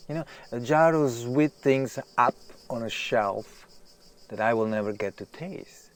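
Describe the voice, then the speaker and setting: An actor playing a famous male writer, agitated, self-deprecating and obsessed with his work, halting and repeating himself in a naturalistic audio drama. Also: mid-range voice, lightly acted. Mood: apologetic, melancholy.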